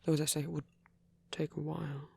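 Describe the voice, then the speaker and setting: A man playing a gentle, shy vampire priest in an intimate ASMR roleplay, speaking in a husky half-whisper with a hesitant, apologetic manner. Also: a little high, flat delivery. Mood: soft, musing. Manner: hesitant, halting, apologetic, rambling.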